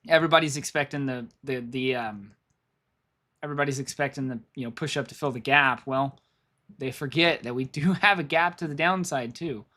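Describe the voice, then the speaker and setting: A man's voice: mid-range voice, fairly quick, sharp tone. A man who is losing his voice, giving rambling live commentary on stock charts, thinking aloud and repeating himself. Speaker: stock market streamer.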